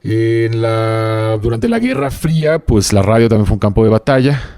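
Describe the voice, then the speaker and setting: Low-voiced man, dry close recording. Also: lively intonation, a little mellow, no room sound. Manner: halting, thinks aloud with tag questions. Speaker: podcast host. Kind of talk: casual podcast conversation from notes.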